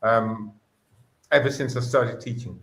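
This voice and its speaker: A man's voice: medium pitch, steady tone, unhurried, a bit bright. Passionate man in an echoey room. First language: Greek. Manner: earnest, passionate, with frequent restarts. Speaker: guest educator, former school principal. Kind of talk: conversational discussion.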